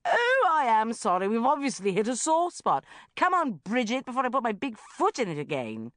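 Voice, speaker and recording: A woman's voice: a little high, huge pitch swings, moderate pace, fairly bright tone, no room sound, studio quality, pitched above the performer's own voice. Woman acting a fierce, indignant, outspoken friend. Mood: scornful. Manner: growling, indignant, sarcastic. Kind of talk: audiobook character voice.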